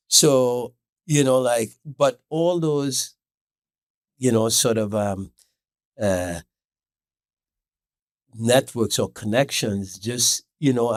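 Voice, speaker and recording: A man from Trinidad, a podcast guest, telling stories in a relaxed, casual way with filler words. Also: medium pitch, no room sound, studio quality. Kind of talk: casual interview chat.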